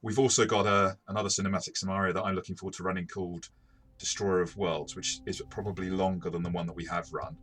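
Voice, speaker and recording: A man hosting a casual game review discussion, talking haltingly with repeated words and chuckling as he goes. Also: low-pitched, steady tone, fairly bright tone. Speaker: host, game master reviewing tabletop RPGs.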